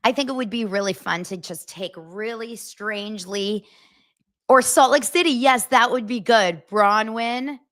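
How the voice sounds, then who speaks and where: A woman's voice: on the high side, measured pace, fairly bright tone. An American woman hosting a casual pop-culture podcast, chatty and giggly, often laughing at herself. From United States. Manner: chatty, giggly, self-mocking. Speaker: podcast host.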